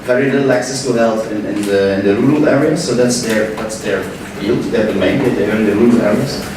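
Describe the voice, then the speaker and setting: A man's voice: fairly low pitch, brisk. A man from Belgium giving a conference talk, hesitant, with many repeated words and restarts. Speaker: panel speaker. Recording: echoey hall, distant mic, background noise.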